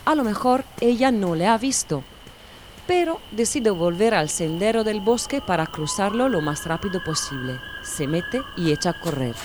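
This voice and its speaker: A woman narrating an audiobook in a calm, measured manner. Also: mid-range voice, background noise. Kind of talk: audiobook reading.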